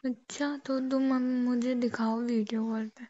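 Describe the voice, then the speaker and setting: A woman's voice: on the high side, little pitch movement, measured pace, dark tone, dry recording. A young woman in casual, insistent and questioning talk with her boyfriend on a phone call. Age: young adult. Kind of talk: casual phone chat between a couple. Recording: dull phone call recording with noticeable noise.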